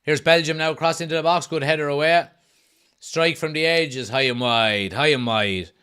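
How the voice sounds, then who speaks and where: A man's voice: fairly high pitch, normal speed, clean tone. Irish man hosting a football watch-along. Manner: reads viewers' chat messages aloud and answers them; excited play-by-play bursts during match action. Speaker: host of a fan watch-along stream. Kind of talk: casual live-stream chat and match commentary.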